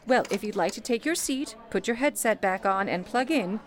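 A woman's voice: medium pitch, animated, moderate pace, lightly acted. An actress playing a polite, motherly chief switchboard operator, courteous and solicitous, in a period radio play. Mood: courteous, busy.

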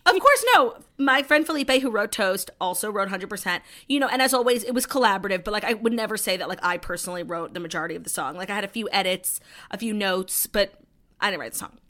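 A woman's voice: mid-range voice, fast talker, fairly bright tone. A woman co-hosting a podcast in casual chat, blunt and teasing, swearing freely. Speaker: podcast co-host.

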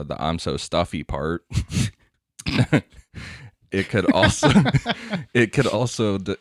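A man's voice: sits low, no echo, studio quality. A man co-hosting a casual podcast, explaining a topic from notes and laughing often. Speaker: podcast co-host. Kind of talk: casual podcast chat, reading quotes aloud.

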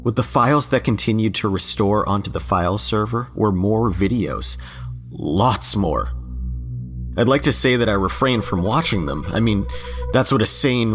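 A man performs a young office worker telling his own horror story in an audio drama. His voice sits low, uneasy and sardonic, with emphatic pauses, and loud music plays underneath. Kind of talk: first-person horror story narration.

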